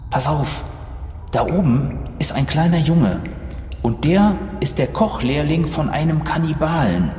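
A man improvises casual dialogue as a player in an adventure role-play. His voice is slightly high and very animated in pitch, heard in a reverberant space with faint noise. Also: measured pace.

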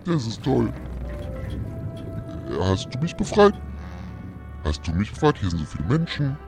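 A man voicing an excitable, forgetful ghost just freed from a bottle, exclaiming and repeating phrases in wonder. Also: medium pitch, measured pace, vocal fry, over music, pitched below the performer's own voice, exaggerated voice. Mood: excited, bewildered.

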